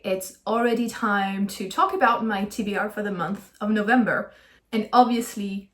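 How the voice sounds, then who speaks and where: A woman talking through her book plans in a friendly, enthusiastic, chatty way, with small laughs now and then. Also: mid-range voice. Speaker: book vlogger. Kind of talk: casual chatty book video. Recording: echoey room.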